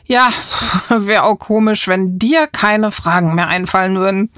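A woman's voice: mid-range voice, steady tone, unhurried, fairly bright tone, smooth, dry recording. Female children's podcast host: mid-range voice, light conversational delivery, dry recording. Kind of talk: light chat with a child character.

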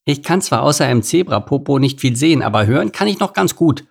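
Man as a high, cheeky little ground squirrel. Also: very animated, normal speed, creaky, husky, heavily acted. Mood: wry. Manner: inquisitive, impatient, wisecracking. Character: small, curious, cheeky male ground squirrel. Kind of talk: children's storybook character voice.

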